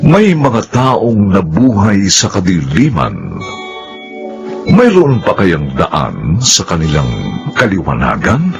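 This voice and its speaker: A man's voice: deep voice, huge pitch swings, slow pace, over music. A man narrating the opening of a radio drama in a dramatic announcer's style.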